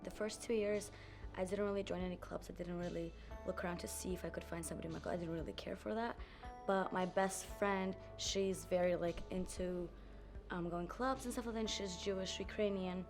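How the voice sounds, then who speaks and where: Excitable woman student, music behind. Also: lowish voice, brisk. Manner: excitable storytelling, many "like"s. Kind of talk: casual roundtable talk.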